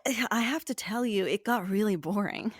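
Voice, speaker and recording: A woman talks casually in an interview, thinking aloud as she answers. Her voice sits at an average pitch, and the sound is dry with no room echo. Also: normal speed, husky. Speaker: podcast cast or crew member.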